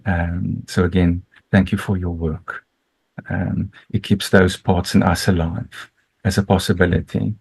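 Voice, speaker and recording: Deep husky Afrikaans-speaking man. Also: flat delivery, a little slow, a little mellow. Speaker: audience member, clinician. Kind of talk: thanking the speaker in a discussion. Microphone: video call.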